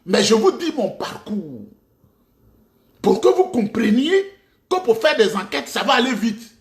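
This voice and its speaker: Animated high-voiced Ivorian man. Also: unhurried. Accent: Ivorian French. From Côte d'Ivoire. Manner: mocking, rhetorical, with sudden outbursts and laughs. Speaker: political commentator. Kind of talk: impassioned political monologue. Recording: echoey room.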